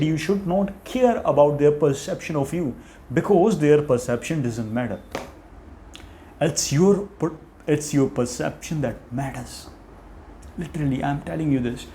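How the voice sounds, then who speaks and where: A man's voice: on the high side, mellow, slight background noise. Earnest young man. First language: Punjabi. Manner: thinking aloud with frequent restarts and self-corrections; earnest and motivational. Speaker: vlogger and English-learning challenge host. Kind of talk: unscripted casual talk to camera.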